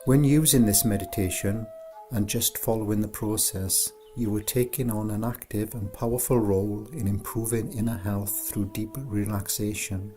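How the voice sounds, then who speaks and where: A man narrating a scripted guided meditation in a soothing, unhurried, gentle way, as an instructor. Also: fairly low pitch, steady tone, faint music behind.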